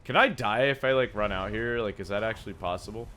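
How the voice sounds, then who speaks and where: Young guy with a raspy sore throat hyping up his modded game, mumbly then yelping. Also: quick pace. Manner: excitable, exclaims often, jumps from low mumbles to loud yelps. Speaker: gaming YouTuber. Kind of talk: casual gameplay commentary.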